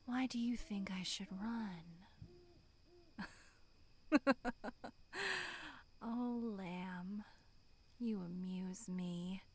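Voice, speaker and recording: Woman voicing a slow, whispery demonic monster. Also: mid-range voice, steady tone. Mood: amused, menacing. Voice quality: breathy, half-whispered, demonic. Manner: slow, purring, teasing. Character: sinister, playful demonic monster stalking its prey. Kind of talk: dark ASMR horror roleplay.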